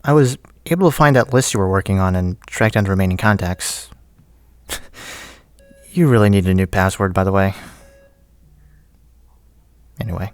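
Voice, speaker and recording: An actor playing a friendly, teasing friend with a hesitant, chatty manner in a naturalistic audio drama. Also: male voice, deep voice, normal speed, pauses often, smooth, no echo, close to an everyday voice. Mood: warm, joking. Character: friendly, teasing male friend leaving a recorded message.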